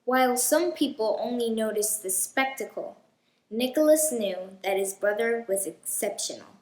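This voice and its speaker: A woman hosting a children's read-aloud, telling a storybook in a deliberate, expressive way. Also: a little high, roomy sound, low-quality recording.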